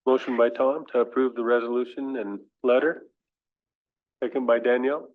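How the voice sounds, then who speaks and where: A man chairing a council meeting, calling motions and votes in a brisk, procedural way. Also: mid-range voice, little pitch movement. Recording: thin phone-like meeting audio.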